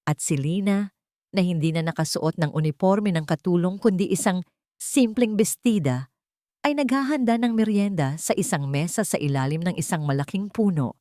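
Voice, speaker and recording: A woman narrating a scripted, sentimental audio drama in measured, dramatic short phrases. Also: fairly low pitch, dark tone, no echo, studio quality. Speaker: storyteller, narrator.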